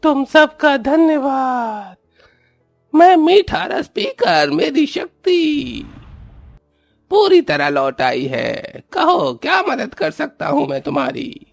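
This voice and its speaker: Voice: high-pitched, sing-song, slow, gravelly, no echo, faint music behind, exaggerated voice. An actor voicing a small male magical bumblebee in a cartoon story, grateful, eager and theatrical.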